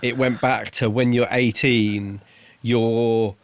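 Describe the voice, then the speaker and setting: A man's voice: mid-range voice, steady tone, slow pace. A man co-hosting a podcast, discussing a book casually in a tentative, reflective and agreeable way. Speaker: podcast co-host.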